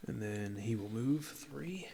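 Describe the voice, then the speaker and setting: A man's voice: medium pitch, lively intonation, takes it slowly, creaky. A man playing a tabletop game and thinking aloud casually as he works out his moves. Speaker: player learning a tabletop game. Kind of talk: casual chat during a board game. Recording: noisy room around game table.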